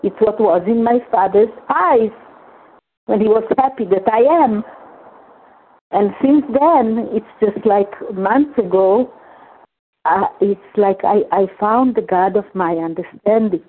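An older woman whose first language is Hungarian, sharing in a recovery meeting in a halting, earnest way, often repeating words. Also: mid-range voice, a little hiss or hum. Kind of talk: personal share in a phone recovery meeting. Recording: tinny phone line.

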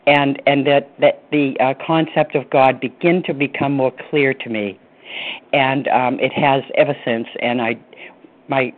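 A woman sharing at a recovery meeting, speaking hesitantly with fillers and restarts. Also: sits low. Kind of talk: personal share at a recovery phone meeting. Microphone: phone. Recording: tinny phone line.

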